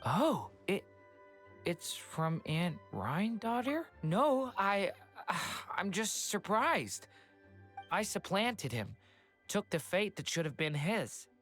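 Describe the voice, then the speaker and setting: A woman playing a gentle, hesitant young boy who is really a dragon, earnest and soft-hearted, in a video game. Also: mid-range voice, very animated, takes it slowly, faint music behind. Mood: uncertain, sad.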